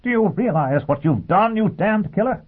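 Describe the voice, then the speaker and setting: An alarmed male pursuer in a science fiction tale , acted by a man reading aloud. His voice is strained upward and husky, full of outraged disbelief. The sound is boxed into a thin, phone-like band. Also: a little high, sing-song, moderate pace, pitched above the performer's own voice. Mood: frantic, outraged. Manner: strained shouting, incredulous. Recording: muffled, phone-like narrow band.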